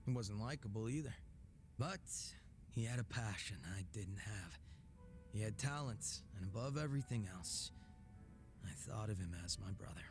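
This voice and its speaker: An actor playing a laid-back, sly gangster boss with a soft heart in a video game, speaking in a casual, teasing drawl. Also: male voice, lowish voice, expressive, normal speed, over music, close to an everyday voice. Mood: wistful, fond. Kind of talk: video game cutscene acting.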